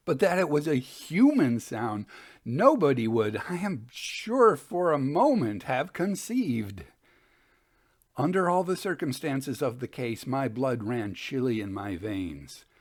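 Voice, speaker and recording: A man hosting and reading Victorian ghost stories in a measured, expressive storytelling manner. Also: medium pitch. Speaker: podcast host and reader of ghost stories.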